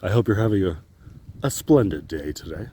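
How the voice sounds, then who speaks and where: Rambling joking man with a lively voice. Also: low-pitched, moderate pace, slight background noise. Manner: jokey, profane, laughs often. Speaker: vlogger. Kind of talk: rambling outdoor vlog. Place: outdoors on a walk.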